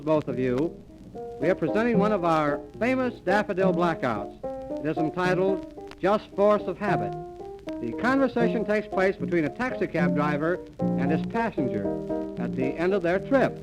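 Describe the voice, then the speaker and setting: A man announcing scripted 1930s radio comedy in a deadpan, mock-formal manner, on an old transcription disc. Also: high voice, low-quality recording.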